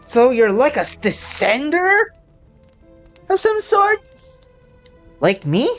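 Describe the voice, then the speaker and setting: Slow-paced, expressive woman gaming streamer. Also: sits high, faint music behind. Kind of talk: game playthrough commentary, reading dialogue aloud.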